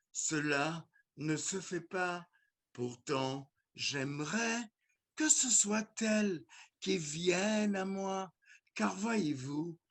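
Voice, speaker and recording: A man's voice: high-pitched, a bit bright. A man with a Haitian accent, a musician guest talking earnestly in casual conversation and often restarting and repeating words.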